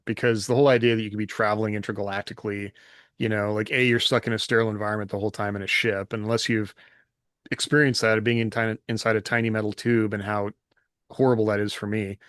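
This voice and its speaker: A man's voice: fairly low pitch, a bit bright, no room sound, clean studio sound. A man talking casually and conversationally as a co-host on a podcast. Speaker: podcast co-host and science fiction author.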